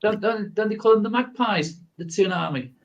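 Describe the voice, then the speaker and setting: A man's voice: high voice, moderate pace, dull audio. A man with a Scouse accent chatting casually, often stumbling and repeating words. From Liverpool area, England. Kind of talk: casual chat.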